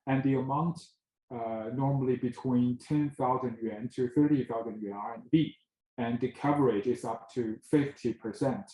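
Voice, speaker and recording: A man giving an admissions info session presentation, halting with frequent filler pauses. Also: medium pitch, dark tone. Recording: echoey room.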